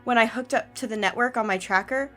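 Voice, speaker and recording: Raised pitch, woman acting an anxious doctor, deferential, reporting, radio drama, faint music behind. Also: brisk, a bit bright, natural voice. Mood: nervous, deferential.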